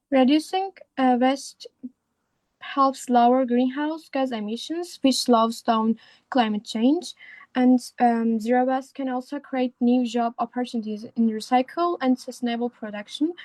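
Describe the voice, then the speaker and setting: A woman's voice: high-pitched, a little mellow, no echo, poor audio. A sixteen-year-old girl from Turkey, a non-native English speaker, presenting a school talk over an online call with many hesitations and fillers. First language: Turkish. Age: teens. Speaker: student speaker. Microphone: remote call microphone.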